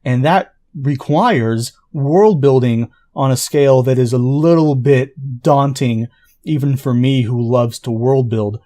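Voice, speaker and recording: A man who writes an audio drama, explaining it in an earnest, informal pitch. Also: slow, mellow.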